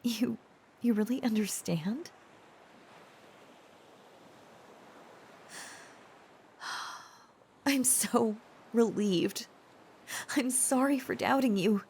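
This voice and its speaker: Slow, hesitant, tender young woman, acted. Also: a little high, vocal fry, slight background noise, heavily acted. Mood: relieved, tender. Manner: hesitant, stammering, with soft pauses. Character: shy, tender young dragon-blooded woman confessing to her boyfriend. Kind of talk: intimate audio roleplay, acted to the listener.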